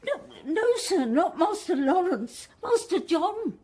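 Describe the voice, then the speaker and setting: An actress playing a trembling, deferential household servant in a radio drama, distraught and quavering. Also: female voice, very high voice, sing-song, slow pace, darkish tone, exaggerated voice. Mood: distraught, tearful.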